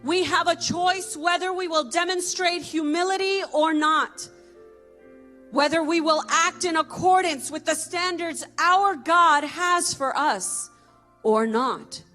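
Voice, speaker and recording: A woman reading a scripted devotional article in a measured, deliberate way with pauses between phrases. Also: extremely high pitch, sharp tone, soft background music.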